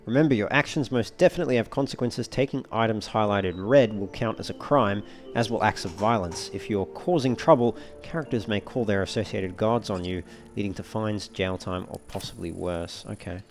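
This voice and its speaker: Low-voiced man doing game commentary. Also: brisk, hardly any pauses. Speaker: let's play host. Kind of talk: casual gameplay commentary, reading game dialogue aloud. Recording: game music faintly behind the voice. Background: video game music.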